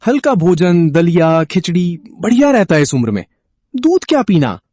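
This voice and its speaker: A man playing a dismissive grown son, offhand, dark mellow tone, dry close sound. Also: sits high, very animated, dry recording. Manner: brushing off, casual and quick.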